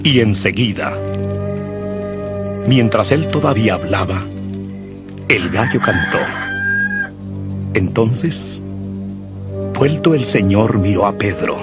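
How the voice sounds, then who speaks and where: A man narrating scripture from a script in a solemn, measured manner. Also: fairly low pitch, expressive. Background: music.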